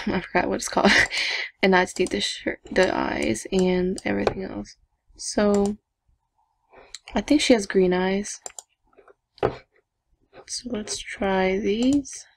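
A young woman chatting casually to viewers while she builds a game avatar, talking to herself with giggly asides in a low evening voice. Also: vocal fry. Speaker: gaming vlogger. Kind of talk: casual screen-recording commentary.

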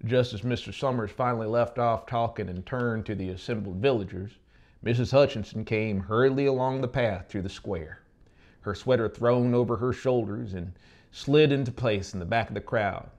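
A man's voice: on the low side, moderate pace, a little mellow. A man in his thirties narrating a story dramatically as an audiobook, close to the mic. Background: music.

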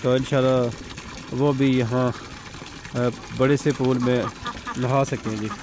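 A man casually narrating a village vlog about his ducks, in a relaxed, chatty way. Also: mid-range voice, slow, background noise. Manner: relaxed, chatty, says "ji" often. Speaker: vlogger. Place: outdoor village yard with ducks.

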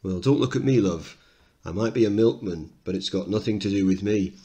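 A man's voice: lowish voice, brisk, mellow, dull audio, lightly acted. A man playing an irate, hard-nosed milkman, rude and impatient, in a play reading. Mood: irate, dismissive.

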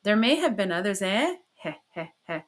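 A woman's voice: mid-range voice, lively intonation, unhurried, fairly bright tone. A woman voicing a sly male examining lawyer in an audiobook, with an ironic, needling, falsely good-humored manner. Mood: mock indignant, gleeful. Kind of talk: dramatized audiobook character reading.

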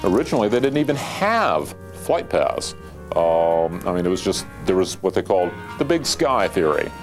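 An American man giving an unscripted, halting interview. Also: low voice, sing-song. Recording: music behind the interview.

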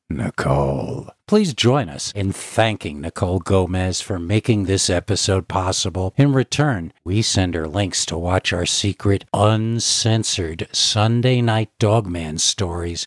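Man, deep low voice, horror story narrator, theatrical delivery, deliberate phrasing. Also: darkish tone. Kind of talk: scripted scary story narration.

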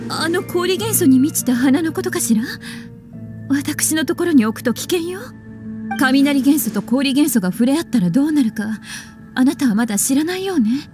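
A woman voicing an elegant, teasing witch librarian in a video game, speaking in an unhurried, coquettish way. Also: high-pitched, expressive, music in the background. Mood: teasing, instructive. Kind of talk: Japanese video game voice acting.

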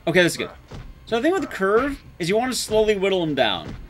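Young male game streamer, higher register, lively swings in pitch, casual self-mocking live commentary with some swearing. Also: fast talker. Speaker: speedrun streamer. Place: small indoor streaming room. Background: game music.

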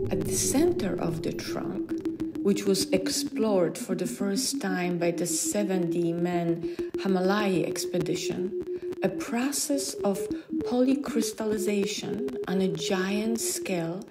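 A woman reading literary science fiction aloud in measured, deliberate phrases. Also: mid-range voice. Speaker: reader. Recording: clearly audible background ambient noise.

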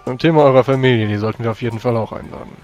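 Male tabletop player, in character, brisk delivery, formal phrasing, faint music underneath. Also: medium pitch, a little mellow. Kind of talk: in-character tabletop roleplay in German.

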